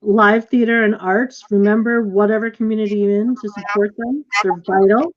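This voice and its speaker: A woman with a mid-pitched voice hosts a live online session in a casual, informal way. Her delivery halts a little as she goes, and the video call sound is dull and lo-fi. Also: steady tone, moderate pace. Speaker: host of a virtual theater question-and-answer session. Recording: muffled, lo-fi video call audio.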